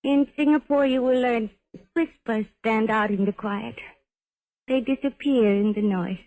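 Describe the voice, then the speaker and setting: A woman playing a sultry, guarded nightclub femme fatale in a 1950s radio drama, speaking measured, stilted, foreign-sounding English. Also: a little high, darkish tone, rough recording.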